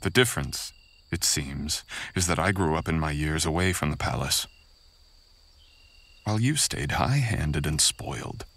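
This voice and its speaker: A man playing a menacing, bitter, seductive samurai in an intimate ASMR roleplay, husky and breathy, often dropping into a whisper, with a taunting manner. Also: extremely low pitch, lively intonation, a little slow, a bit bright, heavily acted. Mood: sardonic, taunting.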